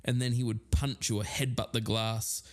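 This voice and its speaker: A man's voice: medium pitch, steady tone, moderate pace, close to an everyday voice. A man playing an amiable podcast co-host, telling eerie mysteries in a conversational documentary style. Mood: matter-of-fact.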